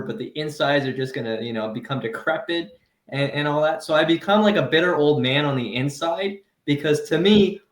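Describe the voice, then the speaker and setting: Animated, profane man in his thirties. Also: fairly high pitch, reverberant. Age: 30s. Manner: animated, profane, laughs through his rants. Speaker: comedian and podcast co-host. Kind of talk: casual podcast chat.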